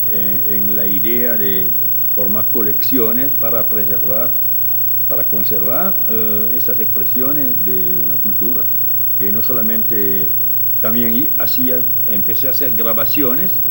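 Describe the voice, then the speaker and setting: An older man with a French accent, native French speaker, recalling his years of fieldwork as an ethnologist in a reflective, hesitant way, pausing often. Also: medium pitch, gravelly, poor audio. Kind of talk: documentary interview recollection. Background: soft music.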